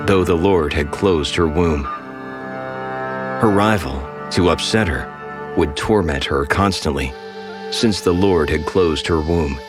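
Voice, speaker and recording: A man giving measured, solemn scripted scripture narration over strong music. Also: sits low.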